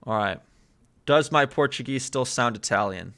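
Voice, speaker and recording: Slow, halting man learning Portuguese. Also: mid-range voice, a bit bright. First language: English. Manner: hesitant, repeats words while searching for Portuguese. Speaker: language learner and vlogger. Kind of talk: casual study vlog, thinking aloud.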